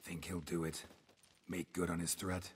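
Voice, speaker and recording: A man voicing a blunt, weary monster hunter in a video game, speaking in terse, deadpan lines. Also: low voice, moderate pace, a little hiss or hum, close to an everyday voice. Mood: wry, questioning. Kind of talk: cinematic video game dialogue.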